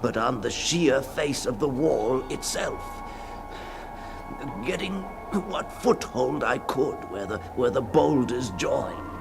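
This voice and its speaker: A man's voice: mid-range voice, lively intonation, takes it slowly, creaky. An actor playing a terrified man reliving a nightmare in dramatic first-person radio storytelling, anguished and stammering. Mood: frightened, strained. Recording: 1960s radio drama with faint music.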